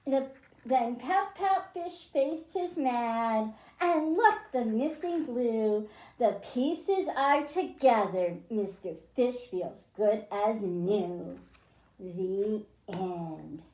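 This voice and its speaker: A woman storytime host reads to young children at a slow, deliberate pace. Her voice is high and mellow, with expressive phrasing suited to a picture book. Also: roomy sound.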